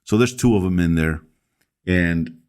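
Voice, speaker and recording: A man from El Salvador, a pastor, recounting his story as a podcast guest in a halting way, with many fillers and restarts. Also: deep voice, a little mellow, smooth.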